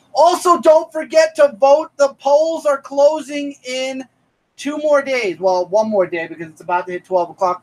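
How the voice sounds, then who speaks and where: High-voiced upbeat man hosting a stream. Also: rough recording. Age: 30s. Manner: upbeat, shouting out viewers by name. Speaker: host of a comic review live stream. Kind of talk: casual live-stream chat.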